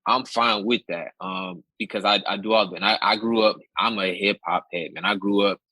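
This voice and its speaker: A man from Connecticut, a rapper guest in an interview, speaking in a relaxed, easygoing way. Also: on the low side, moderate pace, dry recording, poor audio. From Connecticut, USA. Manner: relaxed, easygoing, speaks plainly about his faith. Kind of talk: casual interview chat.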